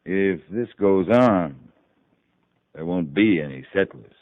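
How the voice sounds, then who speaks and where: A man's voice: low voice, lively intonation, slow. A man playing a seasoned, blunt army captain in a 1950s radio western, speaking in a terse, controlled way. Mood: measured, persuasive. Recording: muffled 1950s radio broadcast, roomy echo.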